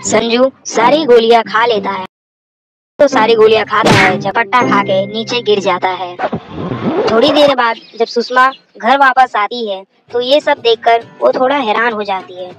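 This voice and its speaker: A woman narrates a scripted children's bedtime tale. Her voice sits fairly high, and soft music plays behind it. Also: measured pace, rough recording. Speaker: story narrator.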